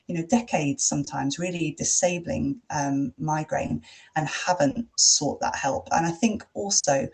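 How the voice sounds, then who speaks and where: Earnest woman doctor, measured speech. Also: low-pitched, darkish tone, poor audio. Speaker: headache specialist and GP. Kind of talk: podcast discussion.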